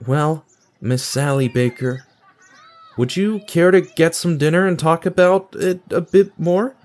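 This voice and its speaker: A man's voice: fairly high pitch, measured pace, a little mellow, lightly acted. A man playing a bland, awkward guy in his twenties in an audio drama, with a hesitant, earnest manner. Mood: tentative. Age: 20s.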